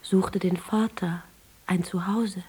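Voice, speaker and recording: An actress playing a frail, yearning young woman, once a slave, in a poetic, theatrical and often breathy radio drama style. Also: on the low side, fairly even intonation, slow pace, warm tone, full character voice. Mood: tender, wistful. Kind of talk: literary German radio drama acting.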